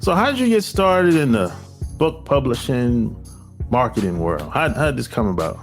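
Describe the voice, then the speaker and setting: A man hosting an interview podcast, reading sponsor spots and introducing his guest in a deliberate, emphatic announcer style. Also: medium pitch, sing-song, faint music behind. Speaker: podcast host.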